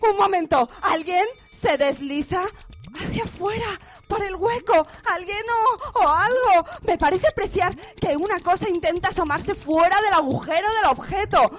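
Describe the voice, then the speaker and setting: A very high-pitched female radio reporter , played by an actress, exclaims in horror as she describes what she sees. Her delivery is halting, breathless and melodramatic, in the manner of a parody radio play news flash. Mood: awed alarm. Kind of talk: radio drama parody of a live news bulletin.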